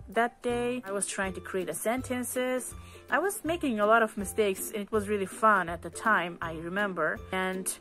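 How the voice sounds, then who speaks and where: A woman's voice: medium pitch, fairly bright tone. A young woman from Turkey with a Turkish accent, casually telling her own story in a chatty vlog, full of fillers and easy laughs. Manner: chatty, lots of "like" and "you know" fillers, laughs easily. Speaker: vlogger.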